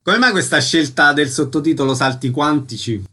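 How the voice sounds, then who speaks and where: A man's voice: fairly high pitch, moderate pace, sharp tone, slightly rough. Male radio interviewer. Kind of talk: radio arts interview and announcements.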